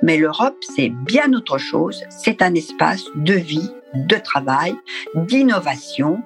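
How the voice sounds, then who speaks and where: A woman with a very low voice answers slowly and deliberately in short phrases. Faint instrumental music runs underneath. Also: very animated. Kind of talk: interview answer.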